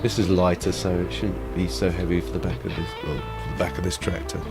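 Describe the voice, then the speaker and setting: Low-voiced man with a cold. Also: flat delivery, quick pace, loud music behind the voice. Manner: chatty, rambling, many ums and uhs. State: head cold, sniffly. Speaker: let's play host. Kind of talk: casual gameplay commentary.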